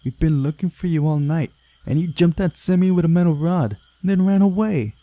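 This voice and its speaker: A man voicing an excitable, accusing young camp girl from a visual novel, insistent and indignant. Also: fairly high pitch, brisk, dry recording, pitched above the performer's own voice, close to an everyday voice. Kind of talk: visual novel character read-aloud.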